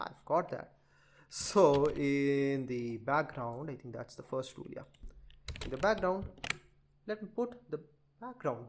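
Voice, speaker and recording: A man giving an informal screen-share coding tutorial, rambling and chatty as he talks straight to his viewers. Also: fairly high pitch. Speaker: programming tutorial presenter.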